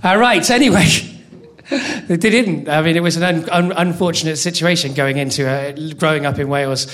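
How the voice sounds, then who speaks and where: Male compere from England, high-pitched, halting and self-mocking on stage. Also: a bit bright. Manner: halting, stammering restarts, self-deprecating. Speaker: comedy night host. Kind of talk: live stand-up compering. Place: comedy venue stage.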